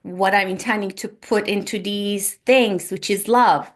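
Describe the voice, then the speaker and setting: Slow, gentle woman. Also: mid-range voice, fairly even intonation. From Turkey. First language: Turkish. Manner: gentle, earnest, repeats phrases for emphasis. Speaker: spiritual healer and podcast host. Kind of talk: spiritual monologue to listeners. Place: home. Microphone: microphone on a laptop.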